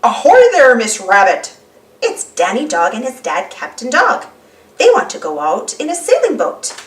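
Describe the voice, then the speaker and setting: A woman narrating a children's read-aloud story expressively, speaking clearly for young listeners. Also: fairly high pitch, wildly swooping pitch, normal speed. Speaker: storybook narrator and channel host. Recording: roomy, slightly distant, music underneath. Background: music.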